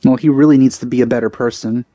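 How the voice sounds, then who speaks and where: A man's voice: medium pitch, steady tone, fast, mellow, dry recording. A man commentating casually on a video game, making offhand, muttered asides as he plays. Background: faint game music.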